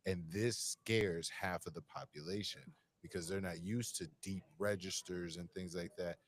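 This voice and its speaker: A man with a deep voice, musing at length in a reflective conversation. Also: steady tone, moderate pace. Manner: musing, searching, repeats phrases.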